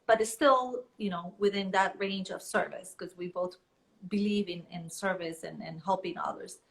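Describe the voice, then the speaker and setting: A woman who grew up in Colombia answers interview questions about her business. She speaks earnestly, halting now and then with fillers as she gathers her words. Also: lowish voice, creaky, a bit bright, rough recording. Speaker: guest, business owner. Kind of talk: casual interview.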